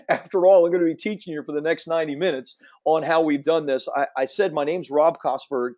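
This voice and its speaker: A man in his fifties with a slight Southern American accent, hosting a promotional webinar and talking quickly in an upbeat, salesy way. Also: fairly high pitch, darkish tone. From Central Florida. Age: 50s. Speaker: webinar host. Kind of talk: fast promotional webinar presentation.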